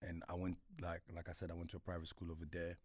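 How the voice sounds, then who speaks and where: A young man from Congo with a London accent, whose first language is French, telling stories of his childhood in a relaxed, laid-back way. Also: low voice, quick pace, no room sound, clean studio sound. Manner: relaxed, laid-back, many fillers like "you know what I'm saying". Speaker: guest. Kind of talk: casual storytelling interview.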